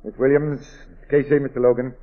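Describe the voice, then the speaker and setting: An actor playing a weary, irritable older gentleman landowner, formal and curt, in a 1940s radio drama. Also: medium pitch, little pitch movement, a little fast, lightly acted. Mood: courteous. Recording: tinny old radio recording.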